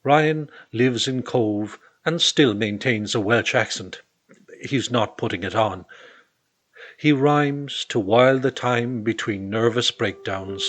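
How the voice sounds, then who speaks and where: A man giving a wry, scripted introduction of a performer in a deadpan manner. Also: medium pitch, slow. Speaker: announcer introducing readers.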